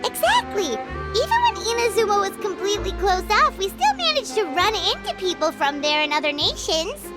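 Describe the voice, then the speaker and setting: A woman voicing a small, excitable, childlike fairy companion with a squeaky voice in an anime game. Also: normal speed. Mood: excited, surprised. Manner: exclamatory, refers to self in third person. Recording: loud music behind the voice.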